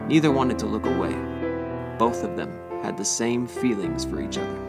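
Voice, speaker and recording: A woman narrator reads a fan fiction story in a very low-pitched voice. Music plays clearly behind her scripted storytelling. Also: lively intonation, normal speed.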